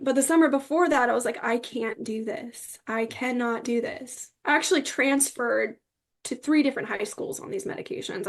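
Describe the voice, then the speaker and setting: Young woman, high creaky voice, earnest, emphatic, personal storytelling, interview guest.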